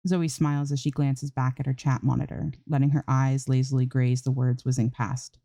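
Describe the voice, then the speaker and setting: A woman's voice: very deep voice, little pitch movement, moderate pace, mellow, no echo, studio quality. A woman narrating a scripted piece of fan fiction as an amateur story reader.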